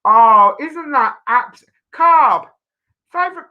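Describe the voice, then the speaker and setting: A woman's voice: high-pitched, expressive, slow, phone-quality sound. A woman hosting a casual live chat show, with a playful, bantering and teasing manner. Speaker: talk show host.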